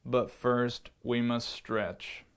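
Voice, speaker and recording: A man voicing a stern male lion who teaches lessons, speaking low, slow and unimpressed. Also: little pitch movement, smooth.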